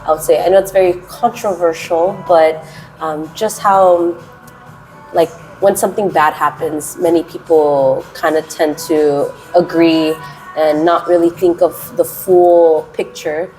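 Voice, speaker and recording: A woman from the United States in her twenties, answering interview questions casually and with energy; she speaks with a naturally loud voice that she keeps held down. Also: deep voice, faint music behind, poor audio. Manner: animated, chatty, drawn-out words. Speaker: interviewee, English teacher.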